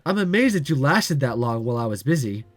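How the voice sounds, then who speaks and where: A man's voice: medium pitch, very animated, quick pace, dry recording, voice pushed down. A man reading a tired young guy. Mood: weary. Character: tired, kind young male café helper. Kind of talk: reading a visual novel aloud.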